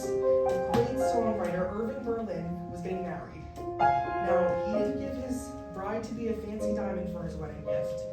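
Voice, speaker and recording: A woman's voice: mid-range voice, close to an everyday voice. A young woman playing an earnest, rambling, self-deprecating male college student in a staged musical reading. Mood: upbeat, presenting. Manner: hesitant, self-deprecating, chatty. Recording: very echoey room, far mic, music.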